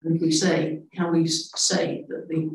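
A man's voice: on the high side, flat delivery, moderate pace, slight background noise. A man on a council committee interjecting with matter-of-fact points during a discussion. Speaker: committee member. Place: large meeting room. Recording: echoey room, distant mic, faint ambience.

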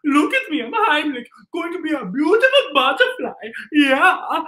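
A man voicing an excitable little cartoon caterpillar with a gleeful, exclaiming delivery. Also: very high-pitched, unhurried, voice pushed up, exaggerated voice. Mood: giddy, gleeful. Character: excitable, giddy little cartoon caterpillar dreaming of becoming a butterfly. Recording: roomy echo.

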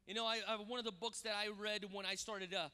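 A man, a guest pastor preaching on a church stage into a handheld mic, animated and often repeating words as he starts a sentence. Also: high voice, fast, clear, resonant tone. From Brazil. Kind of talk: sermon with personal stories. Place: large church stage with audience. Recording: long hall reverb.

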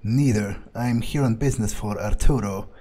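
A man's voice: lowish voice, normal speed, warm tone. Blunt, sweary man roleplaying a game. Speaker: game player speaking as his character. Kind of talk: in-character game roleplay commentary. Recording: faint music behind.

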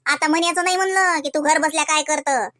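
A woman voicing gossipy, excitable village housewives in a comedy cartoon, exclaiming and teasing in rustic Varhadi Marathi. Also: high-pitched, quick pace. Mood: boastful, defiant. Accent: Varhadi Marathi from Vidarbha. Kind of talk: colloquial comic cartoon dialogue.

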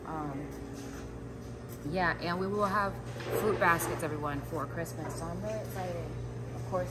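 A woman in her thirties filming a casual, upbeat walk-and-talk vlog on a handheld phone, chatting informally to her viewers as she walks through a farm produce warehouse. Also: on the low side. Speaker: food business owner vlogger. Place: large open-sided farm produce warehouse. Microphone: handheld phone at arm's length. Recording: loud background noise in open warehouse. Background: loud warehouse ambience and passing vehicles.

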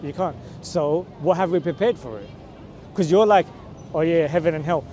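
Guy in his 30s out on a busy plaza, slightly high voice, keeps pushing questions, "right?" "yeah?". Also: moderate pace, mellow, a little hiss or hum. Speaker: street preacher. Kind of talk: street conversation. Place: busy outdoor city plaza. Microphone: camera mic from a few meters.

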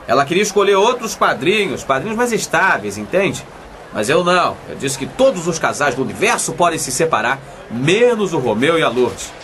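Animated, exasperated wisecracking husband. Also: male voice, a little high, moderate pace, slight background noise. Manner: sarcastic, exclaiming. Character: nagging, exasperated, wisecracking husband and anxious friend. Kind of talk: comic radio soap opera acting with narration.

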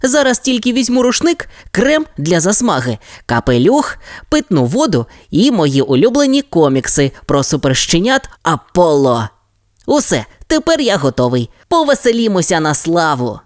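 A woman's voice: on the low side, very animated, moderate pace, a little mellow, dry recording, studio quality, voice pushed up. A woman voicing an eager young male rescue pup with a cheerful, bouncy storybook voice.

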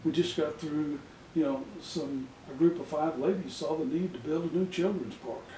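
A man's voice: a little high, normal speed, mellow, recorded from a distance, slight background noise. Chatty older man in an echoey office. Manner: chatty storyteller. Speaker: interviewee. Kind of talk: casual interview. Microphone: far camera.

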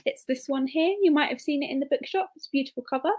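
A woman's voice: high-pitched, fast, a little mellow. A woman in her twenties casually introducing a guest as host of an online event. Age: 20s. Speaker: host, bookshop assistant manager. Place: plain indoor room. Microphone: video call.

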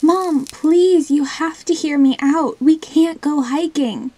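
A woman's voice: high voice, slow, mellow. A woman playing a dramatic, sulky young girl with a whiny manner in an amateur doll stop-motion story. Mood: frustrated, alarmed.

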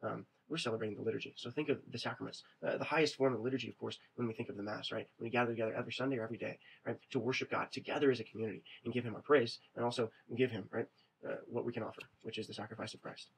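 Male teacher, 30s, rapid conversational webcam lecture, constant "right?" check-ins, faint room hiss. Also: mid-range voice, fairly even intonation, gravelly, low-quality recording. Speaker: religion teacher. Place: small indoor room. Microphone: webcam at desk.

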